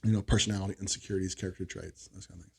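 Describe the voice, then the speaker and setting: Forties male guest, gravelly and a bit husky, easygoing and candid. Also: deep voice, fairly even intonation, rapid-fire. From Florida, USA. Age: 40s. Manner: candid, chatty, trails off quietly. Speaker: guest, business owner. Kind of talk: casual interview chat.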